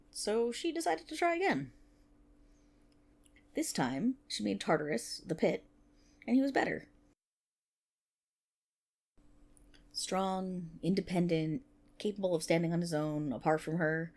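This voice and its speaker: Actress as nerdy best friend, female, ASMR roleplay. Lively, swooping intonation, mid-range voice, rambling, wry asides. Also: mid-range voice. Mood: enthusiastic storytelling. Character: nerdy, playful best friend rambling through Greek myths. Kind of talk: ASMR roleplay, casual one-sided chat to listener.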